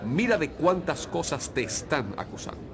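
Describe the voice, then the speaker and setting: A man's voice: a little high, big pitch swings, moderate pace, vocal fry. A man playing a commanding Roman governor who questions people theatrically in a dramatized audio Bible. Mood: stern questioning. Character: Pilate, male Roman governor questioning an accused prisoner. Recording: noisy crowd ambience behind the voice.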